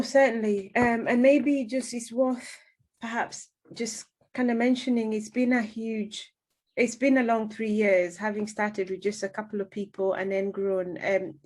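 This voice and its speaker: Woman radio host, halting, conversational. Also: mid-range voice, a little mellow. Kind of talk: conversational interview hosting.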